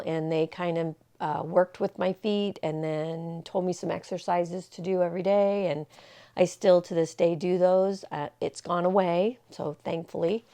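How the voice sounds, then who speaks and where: A woman's voice: lowish voice, dark tone, smooth. A woman in her sixties talking casually to camera, rambling and reflective, with many fillers and restarts. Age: 60s. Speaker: vlogger. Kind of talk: casual personal talk to camera.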